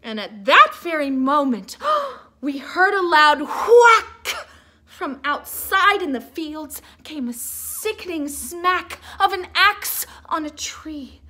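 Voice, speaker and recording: A woman reading a storybook aloud like a teacher to children, theatrical and expressive, with asides to her listeners. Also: high-pitched. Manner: theatrical, expressive, drawn-out emphasis.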